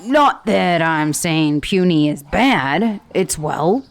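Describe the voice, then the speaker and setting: Woman playing a lowish, slow-talking titan warrior woman, boastful, teasing, ASMR roleplay. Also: expressive. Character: boastful, brawny female titan warrior head.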